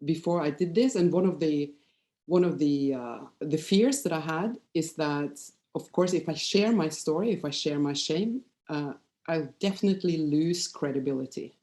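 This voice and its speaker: Casting: woman, fifties, Norwegian accent, low-pitched relaxed voice, psychologist presenting personal material haltingly and candidly. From Norway. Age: 50s. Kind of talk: informal conference presentation with personal storytelling.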